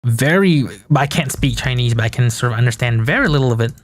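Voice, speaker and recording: A man's voice: mid-range voice, expressive, fast talker, no echo, studio quality. A young man from Malaysia, whose first language is Malay, chatting loosely on a game-dev live stream and thinking aloud with restarts. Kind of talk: casual live-stream chat while working.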